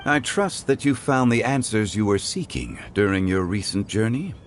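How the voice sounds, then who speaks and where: An actor playing a calm, scholarly gentleman consultant in a video game, speaking in formal, measured, courtly phrases. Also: fairly low pitch, slight background noise, close to an everyday voice. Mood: composed, wise. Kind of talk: scripted video game character dialogue.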